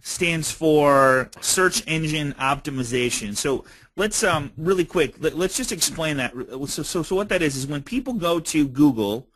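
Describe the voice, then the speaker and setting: A man's voice: on the high side, animated, measured pace, hardly any pauses, fairly bright tone, husky, no echo, rough recording. A man hosting a webinar in a casual, effusive and enthusiastic way, chatting with his guest. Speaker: webinar host. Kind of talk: casual chat, introducing and prompting a guest.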